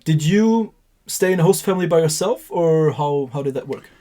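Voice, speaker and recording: Man hosting a podcast, higher voice. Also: expressive, moderate pace, warm tone. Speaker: podcast host. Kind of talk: podcast interview.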